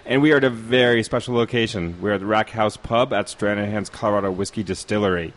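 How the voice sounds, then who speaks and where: A man's voice: fairly low pitch, quick pace. A man hosting a casual podcast from a pub at a distillery, joking loosely and using many fillers. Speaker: podcast host.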